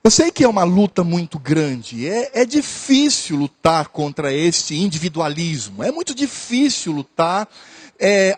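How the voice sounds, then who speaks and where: Pastor, male, high-pitched, earnest sermon delivery with repeated lines. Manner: earnest preaching, repeats phrases, pauses with hesitations.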